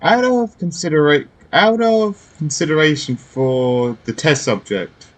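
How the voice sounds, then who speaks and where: A man's voice: a little high, animated, measured pace. A man hosting a gaming video, giving casual play-along commentary in short, offhand reactions. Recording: faint game music behind.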